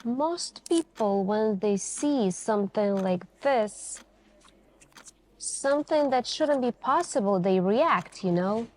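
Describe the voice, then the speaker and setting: A woman doing an ASMR roleplay as a suspicious female detective, questioning in a deliberate, pointed way with many pauses. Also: medium pitch, animated, darkish tone. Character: calm, suspicious, probing female police detective. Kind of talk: ASMR interrogation roleplay, slow deliberate delivery.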